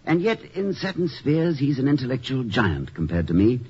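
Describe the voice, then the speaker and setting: Eloquent, animated college president. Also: male voice, medium pitch, breathy edge. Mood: reflective, rueful. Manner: eloquent, wry, formal, deliberate wording. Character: erudite, witty, dignified male college president. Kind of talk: 1950s radio comedy-drama acting. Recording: muffled, noisy old 1950 radio recording.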